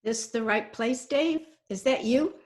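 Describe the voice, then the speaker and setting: A tough, brassy Broadway gal as a woman acts her in a radio play. Her voice is fairly high, and she throws out sardonic slang like a born wisecracker. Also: a little slow, close to an everyday voice. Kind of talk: 1940s radio drama acting.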